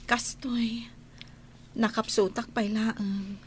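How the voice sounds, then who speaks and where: An actress with an Ilocano accent playing a sickly, meek young daughter in a radio drama, in a weak, subdued way. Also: female voice, a little high, little pitch movement, drawn-out words, slight background noise. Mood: weary.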